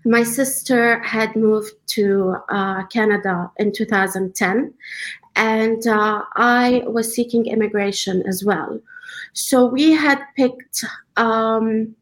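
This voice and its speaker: A woman in her thirties who grew up in the UAE, a designer answering interview questions in a relaxed, conversational way with frequent fillers. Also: a little high, rough recording. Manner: conversational, many fillers, measured pace. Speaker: guest, designer.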